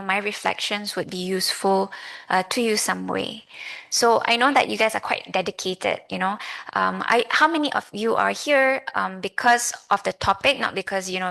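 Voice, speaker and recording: A woman in her thirties with a Malaysian accent, giving a personal talk to an audience in a reflective, halting, conversational way. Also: mid-range voice, vocal fry, a bit bright. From Malaysia, grew up in Kuala Lumpur. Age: 30s. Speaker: lay Buddhist speaker.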